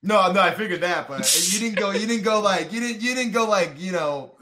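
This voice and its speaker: A man's voice: high voice, lively intonation, fairly quick, crowd noise. A man in his twenties in a casual chat, laid-back and swearing, calling people dude and bro. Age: 20s. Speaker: guest.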